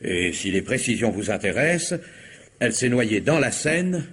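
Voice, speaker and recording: Pompous, husky police commissioner. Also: male voice, fairly low pitch, sing-song, full character voice. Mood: huffy. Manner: pompous, deliberate. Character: self-satisfied, gloomily sentimental police commissioner. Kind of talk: French radio comedy-mystery play acting.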